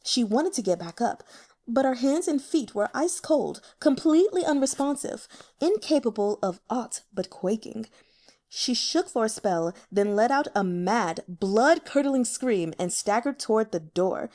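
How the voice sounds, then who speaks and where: A woman's voice: fairly high pitch, animated, a little slow, darkish tone. A woman reading fiction aloud as an audiobook narrator, in a dramatic storytelling style.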